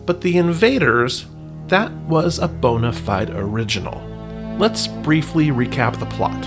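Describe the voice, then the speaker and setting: A man's voice: medium pitch, lively intonation, measured pace, loud music behind the voice. A man hosting a podcast, reading scripted narration in a wry way with playful asides. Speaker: podcast host.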